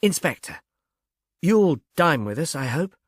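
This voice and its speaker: Gracious, affected society matron for an audiobook, played by a man, lifted pitch, dry sound. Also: big pitch swings, measured pace, dry recording. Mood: gracious, brisk. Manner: affected, genteel. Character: society mother, artificially pleasant, airy and gracious.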